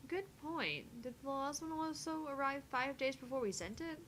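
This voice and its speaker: High-pitched woman, casual delivery. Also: lively intonation, moderate pace, fairly bright tone, slight background noise. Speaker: co-host voicing a visual novel. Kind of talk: casual chat and amateur script reading.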